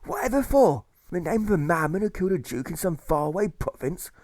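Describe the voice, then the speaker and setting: A man voicing a fiery anti-war orator in an audiobook, loud and declamatory as he rallies workers at a protest. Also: high-pitched, very animated, fast, no echo, studio quality, voice pushed up. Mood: outraged. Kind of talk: political rally speech in a rhyming audiobook.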